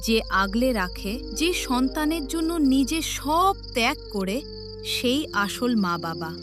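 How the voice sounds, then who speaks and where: A woman's voice: on the high side, very animated, slow pace. A woman playing a devoted, brave teenage village girl in a cartoon story, with earnest, emotional delivery. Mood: earnest, pleading. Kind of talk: melodramatic cartoon story acting. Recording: loud music behind the voice.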